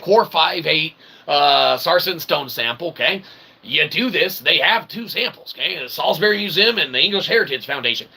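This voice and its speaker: A man's voice: on the high side, lively intonation, moderate pace, gravelly, sharp tone, husky. A man delivering an opinionated, rambling solo monologue, emphatic and ranting, often checking in with short tag questions. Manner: emphatic, ranting, peppered with "okay?" and "right?"; casually profane. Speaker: independent author and channel host.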